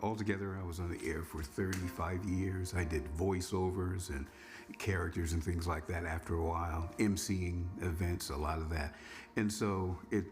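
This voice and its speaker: A man's voice: sits low, moderate pace, over music. A man in his sixties, a former radio DJ, telling his story casually in an interview. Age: 60s. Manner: relaxed, reminiscing, conversational. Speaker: former radio news reader and DJ.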